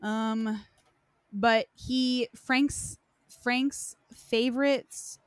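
A woman's voice: fairly high pitch, steady tone, very slow, clean tone, dry recording. A woman hosting a podcast, chatting informally and recounting lore in a conversational way. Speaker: podcast host. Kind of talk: casual chat, recounting game lore.

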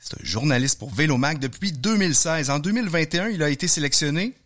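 Laughing host. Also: male voice, fairly high pitch, fast talker. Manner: laughs often, lively and joking. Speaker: podcast host. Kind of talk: casual chat.

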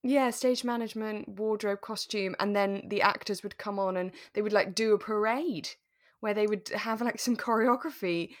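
A woman co-hosting a casual review podcast, chatting in a giggly, animated way with enthusiastic gasps. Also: mid-range voice, normal speed, no echo, clean studio sound. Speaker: co-host of a radio drama review podcast. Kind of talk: casual chat.

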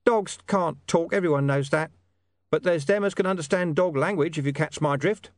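A man's voice: fairly high pitch, moderate pace, no echo, clean studio sound, pitched above the performer's own voice, lightly acted. A man voicing a shady, streetwise talking dog who poses as a mysterious informant in an audiobook story. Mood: wheedling, evasive. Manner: streetwise, evasive, menacing slang.

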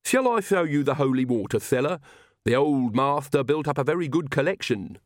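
A man voicing a loyal, proud, gloomy monstrous castle manservant in an audiobook, with slow, deliberate, deferential talk. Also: medium pitch, lively intonation, dry recording, clean studio sound, pitched above the performer's own voice, lightly acted. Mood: proud, obliging.

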